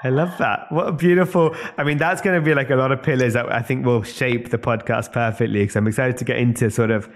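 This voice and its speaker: A man's voice: medium pitch, fairly even intonation, fast, hardly any pauses. A young man hosting a podcast, asking enthusiastic, rambling questions into a studio microphone. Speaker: podcast host. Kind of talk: casual interview questions. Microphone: studio microphone on a stand.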